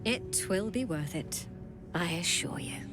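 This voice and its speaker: Haughty alien lady doctor in a fantasy game, low and slow, clinical and condescending, music under it. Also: expressive. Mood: coolly fascinated. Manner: dry, precise, condescending.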